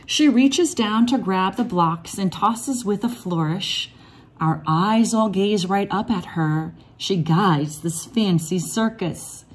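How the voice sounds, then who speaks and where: A woman reading a rhyming children's picture book aloud in an expressive, measured storytelling manner. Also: medium pitch, husky. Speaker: read-aloud storybook reader.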